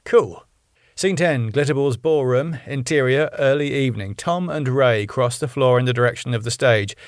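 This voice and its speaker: A man reads the scene directions of his own script in a plain, even voice. His pitch sits in the middle for a man. The sound is close and dry. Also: normal speed, no room sound. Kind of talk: reading aloud scene directions.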